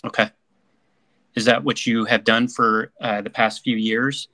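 A man's voice: medium pitch, little pitch movement, measured pace, pushed and bright, no echo. A man hosting an online panel forum over a video call, upbeat and heaping on repeated praise. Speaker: podcast host.

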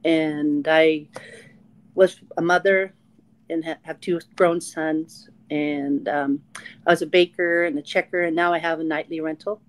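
A woman in her sixties from Washington State, introducing herself in a plain, halting way on a video call. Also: low voice, steady tone, no echo. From Leavenworth, Washington. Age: 60s. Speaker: panel guest. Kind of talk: casual self-introduction.

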